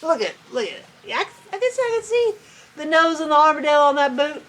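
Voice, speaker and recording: Bright, high-voiced woman reading a storybook. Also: sing-song, brisk. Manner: folksy, playful, chatty. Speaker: read-aloud storyteller. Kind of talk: animated storybook reading with casual chatty asides.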